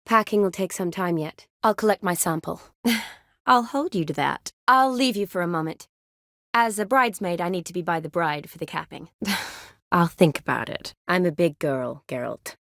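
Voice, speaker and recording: A woman's voice: lowish voice, moderate pace, a bit bright, no room sound, studio quality. A woman playing a composed, wry young medic in a fantasy video game, speaking articulately with a dryly teasing edge. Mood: friendly, composed. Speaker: video game character, army surgeon. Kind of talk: video game dialogue acting.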